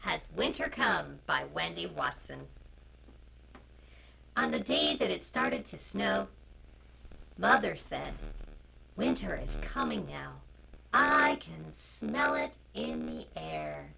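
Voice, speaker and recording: A woman's voice: a bass voice, very animated, slow, vocal fry, long pauses, sharp tone. A woman storyteller and co-host who chats informally and reads a picture book aloud, on a noisy live-stream recording.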